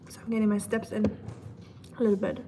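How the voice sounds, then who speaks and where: A woman's voice: mid-range voice, level tone, moderate pace, mellow. A woman with a German accent chatting casually to livestream viewers, thinking aloud in a rambling way with dry humor. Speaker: livestream host. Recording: clear background ambient noise.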